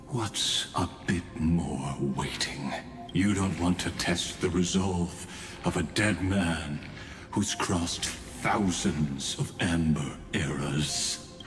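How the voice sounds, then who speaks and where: Very deep, slow, calculating ancient genius. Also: male voice, a bit bright, exaggerated voice. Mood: brooding, patient. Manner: slow, measured, grave. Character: patient, calculating dead man, an inorganic-minded ancient genius. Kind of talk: video game voice acting.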